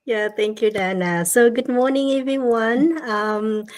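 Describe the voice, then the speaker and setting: A woman's voice: on the high side, a little slow. A woman from Canada introducing herself as a guest on a video call, friendly and with some hesitant fillers. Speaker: panel guest.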